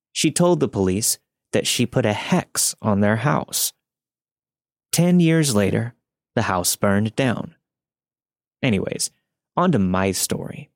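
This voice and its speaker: A man's voice: on the low side, no room sound, studio quality. A man reading a scripted first-person spooky story as a podcast narrator. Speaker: podcast host reading listener stories.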